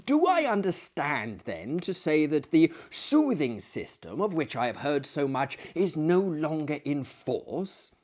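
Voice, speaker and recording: A man voicing a tactful young gentleman visitor in a story, speaking formally, carefully and with deference. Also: on the high side, sing-song, measured pace, hardly any pauses. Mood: inquiring.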